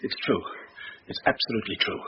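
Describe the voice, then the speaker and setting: An actor with a British accent playing a polite but rattled old-fashioned husband in a radio drama, formal and earnest. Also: male voice, fast talker, close to an everyday voice. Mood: insistent, rattled. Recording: muffled, distant, hissy radio recording.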